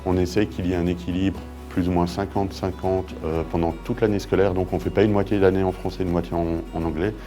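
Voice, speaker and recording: A school staff man explaining the program in an interview, pausing with fillers. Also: sits low, level tone, warm tone. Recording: music bed underneath.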